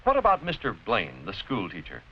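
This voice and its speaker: An American man giving scripted educational narration in a deliberate, clearly explanatory, didactic manner. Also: on the low side, huge pitch swings. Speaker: narrator-presenter of an educational film. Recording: dull, noisy vintage educational film soundtrack.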